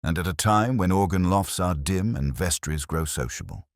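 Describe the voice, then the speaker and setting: Man reading as a deep, quietly shrewd police inspector. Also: no room sound, studio quality. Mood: observant. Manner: understated, deliberate, dryly wry. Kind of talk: mystery audiobook character reading.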